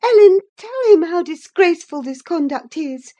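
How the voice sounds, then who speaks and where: A woman's voice: slow, darkish tone, lo-fi sound. A woman playing a fiery, scornful young lady. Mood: furious scolding. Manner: indignant, scolding, high-pitched. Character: proud, impatient young gentlewoman, quick-tempered.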